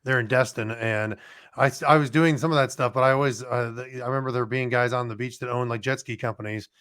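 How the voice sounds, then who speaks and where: A man's voice: medium pitch, fairly even intonation, fast, a bit bright, clean tone, dry recording, studio quality. A man hosting a podcast, talking casually in a rambling way with many restarts. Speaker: podcast host, former firefighter.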